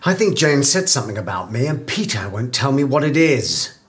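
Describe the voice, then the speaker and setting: A man's voice: medium pitch, big pitch swings, moderate pace, fairly bright tone. An actor playing a needy, paranoid middle-aged man, insistent and indignant, in a screen comedy. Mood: sulky, fretful. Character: needy, paranoid, self-absorbed middle-aged radio drama writer. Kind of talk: naturalistic screen comedy acting. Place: indoor office.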